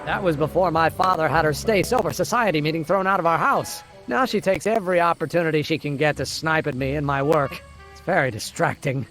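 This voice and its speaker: An actor playing an earnest, excitable young male scientist in a video game, with a wordy, precise, bookish way of talking. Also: a little high, fairly quick, natural voice, rough recording. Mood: earnest, bothered. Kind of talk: animated video game voice acting. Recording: music under the voice.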